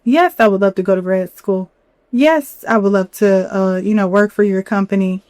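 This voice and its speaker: Slightly hoarse young woman chatting candidly. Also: mid-range voice, normal speed, a little mellow, dry recording. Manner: candid, chatty, many fillers and "you know". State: a little hoarse from a cold. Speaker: podcast host. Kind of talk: reflective solo podcast talk.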